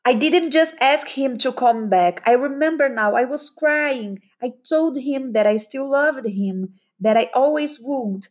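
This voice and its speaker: A woman reads fiction dramatically. Her voice sits fairly high and she takes it very slowly. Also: lively intonation. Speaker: participant reading aloud. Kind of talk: dramatic novel reading.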